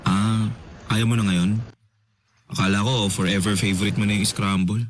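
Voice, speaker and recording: A shy, eager man in his thirties, voiced by an actor, stumbles over his words and laughs off awkward moments. His voice sits fairly low. He is a radio drama figure trying hard to please. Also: flat delivery, moderate pace, a little hiss or hum, lightly acted. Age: 30s. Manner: nervous, stammering, laughs it off.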